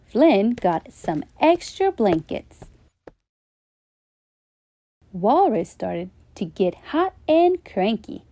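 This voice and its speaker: Gentle, expressive bedtime storyteller woman. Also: mid-range voice, extremely slow, pauses often. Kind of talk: children's bedtime story read-aloud.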